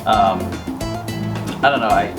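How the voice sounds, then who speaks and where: A man's voice: fairly low pitch, takes it slowly, far from the mic. A man whose first language is Cebuano, co-hosting a casual travel chat. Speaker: travel podcast co-host. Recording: off-mic, guitar music behind. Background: acoustic guitar music.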